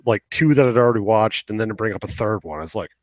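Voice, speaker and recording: A man's voice: lowish voice, fast, dry recording, studio quality. A young man chatting casually as a podcast co-host, opinionated and rambling, swearing now and then. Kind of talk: casual chat about video games.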